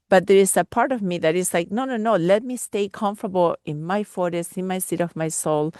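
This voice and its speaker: Low, dry-sounding woman from Argentina. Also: no echo. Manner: searching, meandering, with many restarts. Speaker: caller asking a question. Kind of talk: earnest live Q&A question.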